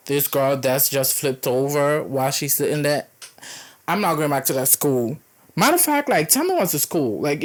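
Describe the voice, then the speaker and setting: A young woman, reading horror stories aloud and breaking in with dramatic, reactive commentary, often stumbling over the typos. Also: deep voice. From Caribbean.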